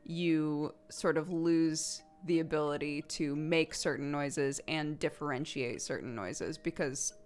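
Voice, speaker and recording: A woman's voice: low-pitched, a little slow, hardly any pauses, a little mellow, smooth, soft background music. A woman in her thirties, a streamer chatting casually and rambling while playing a video game, laughing often.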